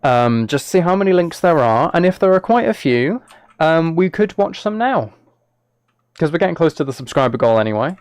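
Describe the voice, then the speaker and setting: A man's voice: a little high, sing-song, normal speed, clear, resonant tone, no echo. Chatty man streaming, dry close sound. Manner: chatty, thinking aloud as he plays. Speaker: live streamer. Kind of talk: casual live game stream chat, replying to viewers.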